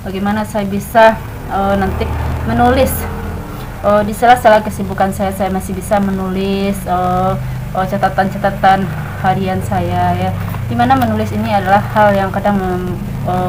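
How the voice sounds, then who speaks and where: A woman's voice: mid-range voice, background noise. A woman in her late twenties, a stay-at-home mother, talking casually to camera with many hesitant fillers and restarts. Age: 20s. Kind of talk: casual talk to camera, practicing storytelling.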